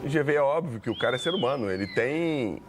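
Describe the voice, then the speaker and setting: Measured Brazilian pundit explaining slowly. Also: male voice, medium pitch, lively intonation, over music. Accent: Brazilian Portuguese. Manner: measured, explanatory, drawn-out words. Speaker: sports panelist. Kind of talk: casual football debate.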